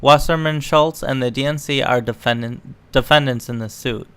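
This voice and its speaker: A man's voice: mid-range voice, fairly even intonation, moderate pace, fairly bright tone, clean tone. A man reading news articles aloud and adding casual, sarcastic, opinionated commentary as an online political commentator. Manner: sarcastic, mocking.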